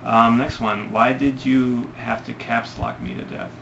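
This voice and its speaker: A man's voice: mid-range voice, fairly even intonation, a little slow, gravelly. A young man from Ohio answering viewer questions in a casual vlog, hesitant and rambling with many ums. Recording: lo-fi, noisy home recording.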